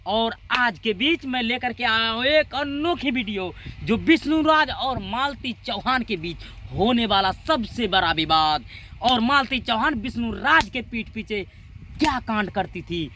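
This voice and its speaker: A man's voice: very high-pitched, expressive, a little slow, sharp tone, slight background noise. A man hosting a YouTube channel with comic reaction commentary, clownish and often repeating his words and phrases.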